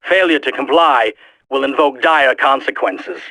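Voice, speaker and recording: A man playing a coldly resolute terrorist leader in a video game, measured, formal and threatening. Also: medium pitch, sharp tone, no room sound, rough recording. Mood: cold menace. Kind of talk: video game villain monologue.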